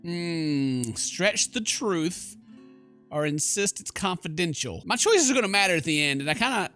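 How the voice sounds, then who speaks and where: A man's voice: sits high, animated, normal speed, bright, forceful tone, faint music behind. A man giving casual, reactive gameplay commentary in a mocking, jokey manner. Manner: mocking, jokey, mimics characters. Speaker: gaming video host.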